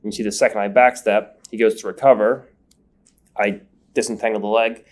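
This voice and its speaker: Man, low voice, sniffling, numb nose, step-by-step coaching voice-over. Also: flat delivery, normal speed, a little mellow. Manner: explanatory, step-by-step breakdown. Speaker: grappling instructor. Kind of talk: instructional voice-over commentary. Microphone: voice-over recorded separately.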